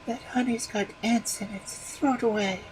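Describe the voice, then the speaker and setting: A storyteller voicing a fussy, demanding young wife who complains in a high voice. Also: steady tone, moderate pace, slight background noise, lightly acted, poor audio. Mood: disgusted.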